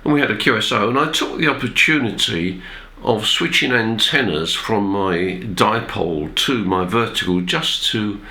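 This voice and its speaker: Nasal man with a bright, low voice. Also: animated, moderate pace. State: has a cold, throat-clearing. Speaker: amateur radio presenter. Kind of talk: informal explanatory talk to viewers.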